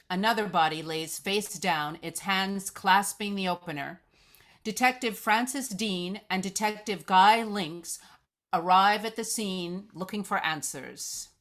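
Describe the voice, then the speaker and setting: A woman serves as narrator at a live script reading. Her medium-pitched voice delivers stage directions descriptively, with the odd stumble over the written text. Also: fairly bright tone.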